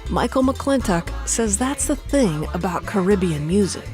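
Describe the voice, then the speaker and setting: A woman radio reporter narrating a news feature in a measured, even way. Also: medium pitch. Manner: measured, even storytelling delivery. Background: band music under the voice.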